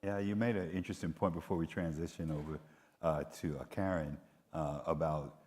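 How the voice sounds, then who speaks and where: A man's voice: very deep voice, fairly even intonation, fairly quick, darkish tone. A man moderating a conference panel, talking conversationally with frequent fillers. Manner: conversational, fillers between phrases. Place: large convention hall. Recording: reverberant hall sound.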